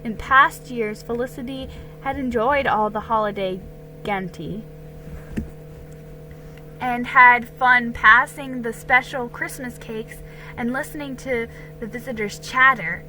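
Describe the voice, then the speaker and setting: Slow, very bright woman reading a story. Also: a little high, soft background music, low-quality recording. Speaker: story reader. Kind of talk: dramatic narration of a children's storybook.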